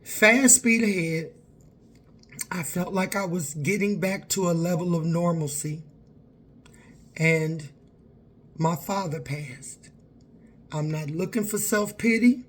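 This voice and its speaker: Slow, raspy woman. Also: fairly low pitch. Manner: earnest, candid, talks straight to viewers. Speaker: vlogger. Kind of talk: personal confessional vlog.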